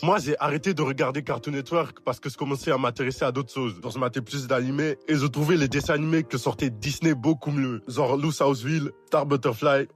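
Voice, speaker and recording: A young man commenting casually on cartoons in a relaxed, slangy way. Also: mid-range voice. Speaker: video essayist.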